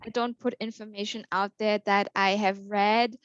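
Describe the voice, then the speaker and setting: A woman's voice: mid-range voice, level tone, normal speed, clear, resonant tone, no echo. Upbeat young Austrian-born woman guest. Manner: fluent, upbeat, many fillers like "um" and "like". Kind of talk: casual interview. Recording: slightly dull, lo-fi call audio.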